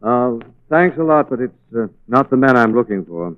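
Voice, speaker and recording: A man playing an unflappable, dryly witty gentleman detective in 1940s radio drama style. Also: mid-range voice, lively intonation, lightly acted. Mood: cool, guarded. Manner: dry, unhurried, understated. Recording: dry, narrow-band 1940s radio recording.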